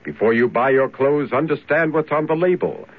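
A man's voice: mid-range voice, normal speed, close to an everyday voice. An actor playing a helpful, instructive spokesman in a 1970s radio public service spot. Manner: instructive, emphatic. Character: helpful male consumer-advice spokesman. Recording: tinny, muffled old radio recording.

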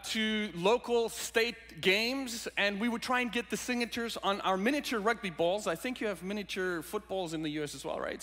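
Guy preaching, high bright voice, jokey, big church echo. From South Africa. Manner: jokey, animated storytelling. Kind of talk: sermon. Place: large church sanctuary. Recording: hall reverb in a sanctuary.

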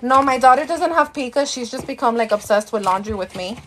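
A woman's voice: on the high side, animated, a little fast, fairly bright tone, a little hiss or hum. A woman, chatting casually as a live-stream host, thanking viewers and slipping into Spanish, a bit tired and out of breath. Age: 30s.

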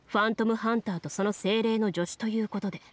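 A woman's voice: medium pitch, steady tone, fast, a little hiss or hum, lightly acted. A woman voicing a serious, composed young duelist in an anime game, explaining formally like a game master. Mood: composed, instructive. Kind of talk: Japanese anime game voice acting. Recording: studio voice with music underneath.

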